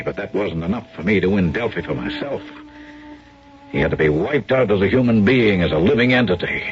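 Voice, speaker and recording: An actor playing a scheming, jealous American talent agent with a tough, hard-edged way of talking in a radio drama. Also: male voice, sits low. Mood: brooding, calculating. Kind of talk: radio drama acting with confessional narration. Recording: muffled radio drama recording with music.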